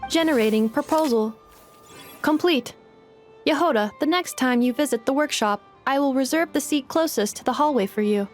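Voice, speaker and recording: A polite robot girl voiced by an actress speaks high, in formal and literal statements like a computer reporting results. It is game dialogue, with faint music behind it. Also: moderate pace, natural voice. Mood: analytical, matter-of-fact. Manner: formal, clipped computer-like phrasing. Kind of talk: video game voice acting.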